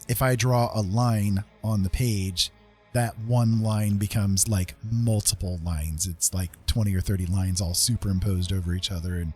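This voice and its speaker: A man's voice: on the low side, a little mellow, slightly rough. A man chatting casually on a livestream as an artist, thinking aloud in a conversational way. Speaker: live streamer and digital artist.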